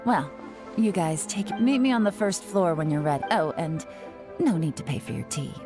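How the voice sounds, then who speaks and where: A woman's voice: deep voice, animated, normal speed, music in the background. A woman playing a sly, self-assured covert agent in a video game, with a cool, teasing delivery.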